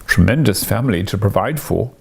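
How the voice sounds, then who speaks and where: Man playing a humbled, muttering old miser, creaky. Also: on the low side, expressive, a little fast, heavily acted. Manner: submissive, muttering. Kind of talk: storybook character reading.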